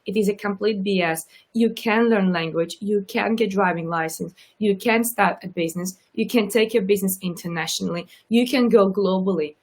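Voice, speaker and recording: A woman's voice: medium pitch, moderate pace, few pauses. Emphatic Russian-accented woman host. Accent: subtle Russian. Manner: earnest, emphatic, repeats points for emphasis. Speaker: talk show host and coach. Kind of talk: casual interview chat.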